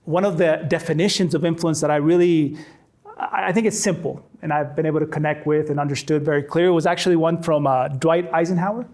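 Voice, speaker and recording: A man's voice: fairly high pitch, fairly even intonation, fairly quick, a little mellow. A man in his forties from Chile, a storytelling trainer giving a conversational workshop talk to a faculty audience, often repeating words as he restarts. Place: classroom with a live audience.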